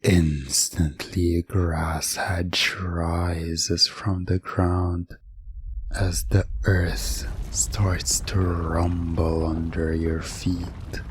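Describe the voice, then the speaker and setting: A man narrating a scripted second-person hypnosis ASMR story in a hushed, suspenseful manner. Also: deep voice, slight background noise. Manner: hushed, breathy at times, suspenseful.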